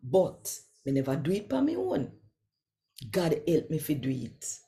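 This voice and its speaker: A Jamaican woman in her sixties giving an expressive, deliberate scripture reading in Patois as host. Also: deep voice. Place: small quiet room indoors.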